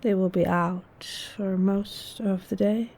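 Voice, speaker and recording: An actress playing a seductive, scheming married hostess, coaxing and deliberate, in a horror audio drama. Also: female voice, mid-range voice, fairly even intonation, a little mellow. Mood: coolly seductive.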